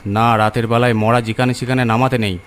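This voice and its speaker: A man's voice: mid-range voice, flat delivery, fast talker, natural voice. A man voicing a shrewd, bossy village man who scolds and commands in a horror cartoon story. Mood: scolding.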